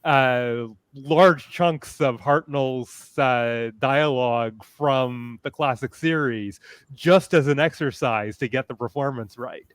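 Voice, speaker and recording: A man speaking as a panelist in a casual discussion, analytical and detailed in a measured way. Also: mid-range voice, clear, resonant tone, no room sound.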